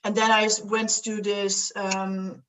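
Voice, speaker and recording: A woman's voice: medium pitch, little pitch movement, a little slow, fairly bright tone, distant mic, a little hiss or hum, low-quality recording. Young Dutch woman guest, casual rambling chat, sits a little back from the mic. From Netherlands. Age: young adult. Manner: rambling, many fillers and restarts, laughs often.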